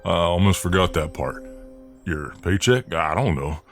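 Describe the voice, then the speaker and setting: A man's voice: sits low, expressive, unhurried, soft background music. A man acting out a friendly, protective, cocky Southern US mailman in an ASMR role-play, with a folksy, soothing drawl. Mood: protective, easygoing.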